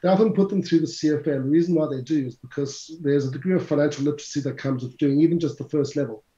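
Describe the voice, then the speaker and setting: A middle-aged man with a South African accent talks through his career in a chatty, lecturer's way, sometimes speaking to listeners directly. His voice is dark and mellow. It sits in a roomy space and sounds a little dull. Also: on the high side, racing. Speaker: CFA instructor, guest. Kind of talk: conversational interview answers.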